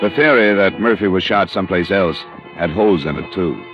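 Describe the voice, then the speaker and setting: Hard-boiled American private investigator, very low voice, terse, vintage radio sound with some music. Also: male voice, a little fast. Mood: dry, matter-of-fact. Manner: clipped, dry, matter-of-fact. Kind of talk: 1950s radio detective narration and dialogue. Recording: dull vintage radio recording.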